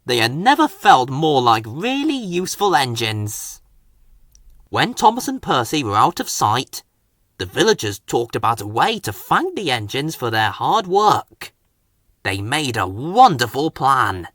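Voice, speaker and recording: A man narrates a children's story in a very animated, expressive read-aloud style. His pitch swings widely across a middle register, and the sound is dry and close. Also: unhurried, dry recording. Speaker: story reader.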